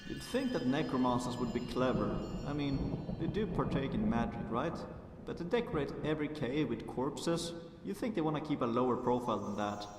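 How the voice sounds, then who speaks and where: A man's voice: mid-range voice, expressive, a little fast, hardly any pauses, background noise, lightly acted. A man playing a wry, friendly vampire adventuring companion in a fantasy game, chatty and conversational. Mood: wry, opinionated. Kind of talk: fantasy game character acting. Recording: echoey, distant, music behind voice.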